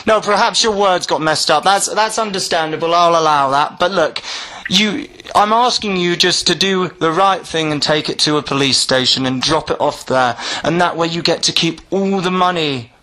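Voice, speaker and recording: A man with a high, forceful voice is in an argumentative phone conversation. He presses his point with exasperation and tends to interrupt. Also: normal speed, rough recording. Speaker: caller trying to get back a lost phone.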